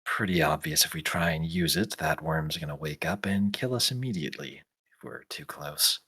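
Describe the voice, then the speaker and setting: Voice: very deep voice, normal speed, pushed and bright, slightly rough. A game master improvising narration for a tabletop role-playing game, casual and thinking aloud.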